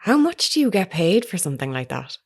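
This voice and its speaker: An actress playing an earnest, persistent woman reporter in an audio drama, formal, measured and insistent in a naturalistic style. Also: lowish voice, sing-song, husky, heavily acted. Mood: probing.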